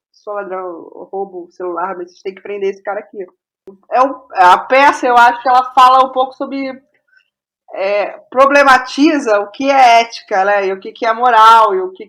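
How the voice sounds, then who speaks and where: A woman with a Brazilian accent and a fairly high voice talks casually as an interview guest. She thinks aloud, often repeating a phrase before carrying on. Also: fairly bright tone, low-quality recording. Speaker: guest playwright.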